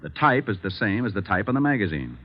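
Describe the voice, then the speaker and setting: Vintage radio detective lead,, slangy and wry, animated swings in a low register, muffled old recording. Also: male voice, fast, slight background noise. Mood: explaining. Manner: breezy, wry, slangy delivery. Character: wisecracking hardboiled male private detective, breezy and cool. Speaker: lead actor and narrator. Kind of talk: 1940s radio acting.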